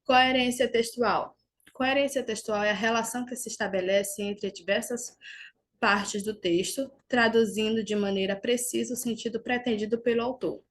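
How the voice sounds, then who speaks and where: A woman's voice: a little high, fairly even intonation, normal speed. A woman teacher, giving a recorded grammar lesson over slides and explaining step by step with small restarts.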